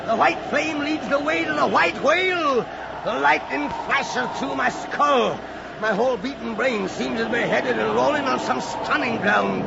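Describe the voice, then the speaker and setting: An actor playing a crazed, defiant old whaling captain in an old radio drama, ranting in grandiose archaic speech with a gravelly voice. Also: male voice, very high-pitched, heavily acted. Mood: exultant frenzy. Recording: muffled, noisy old radio recording.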